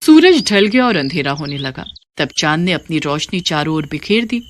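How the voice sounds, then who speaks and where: A woman's voice: low-pitched, sing-song, moderate pace, slight background noise. A woman reading scripted narration for a children's moral story.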